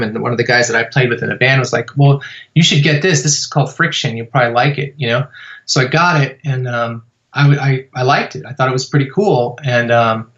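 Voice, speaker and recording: A man's voice: mid-range voice, muffled recording. A man as a podcast guest, telling his story in a rambling way with many fillers and restarts. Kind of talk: casual storytelling interview.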